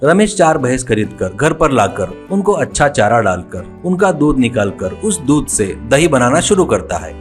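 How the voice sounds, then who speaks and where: Male narrator, cartoon moral tales, highly varied pitch, storytelling delivery, light background music. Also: very animated, normal speed, hardly any pauses.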